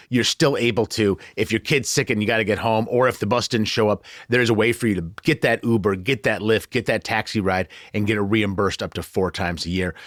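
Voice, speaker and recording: Male podcast host, American. Lowish pitch, chatty delivery, dry close sound. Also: dry recording. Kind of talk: conversational podcast hosting.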